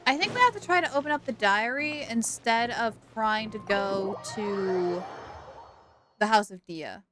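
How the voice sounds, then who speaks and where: A woman's voice: on the high side, measured pace, over music. A woman live streamer giving casual, chatty commentary on a video game, thinking aloud and repeating herself.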